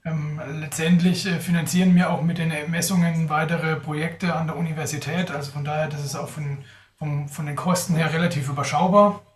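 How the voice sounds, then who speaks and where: A man's voice: a little high, level tone, fairly quick, distant mic. A young man answering audience questions on a live video call, thoughtful, with many hesitations. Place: indoors in front of a sponsor backdrop. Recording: roomy, off-mic sound.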